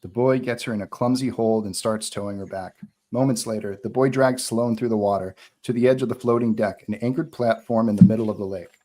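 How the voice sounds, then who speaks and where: A man's voice: on the low side, fairly even intonation, a little fast, dark tone. A man reading out screenplay stage directions as narrator at a live table read.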